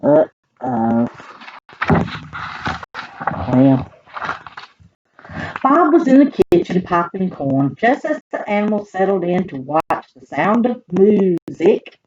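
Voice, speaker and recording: A woman with a Southern US accent reading picture books aloud to children in an animated, expressive way, adding chatty asides. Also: mid-range voice, slow pace, pauses often, muffled recording, background noise. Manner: animated, expressive, talks directly to young listeners.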